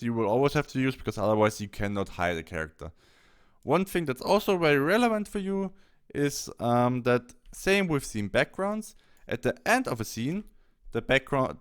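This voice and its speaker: A man presenting a software tutorial, explaining step by step in a halting instructional walkthrough. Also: medium pitch, sing-song, smooth. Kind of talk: instructional screen-capture walkthrough.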